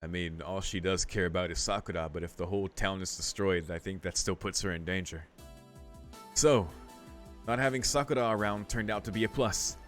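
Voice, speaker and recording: A man's voice: deep voice, a little fast, fairly bright tone, faint music behind. A man streaming a visual novel, reading the story text aloud and adding casual, musing commentary with some swearing.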